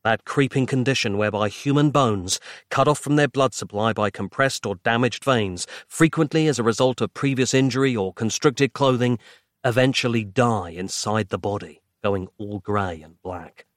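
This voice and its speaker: A man's voice: mid-range voice, normal speed, no room sound, studio quality, close to an everyday voice. A man voicing a grave, self-important male writer hero in a thriller audiobook, with a drawling, grim delivery. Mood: earnest, pompous.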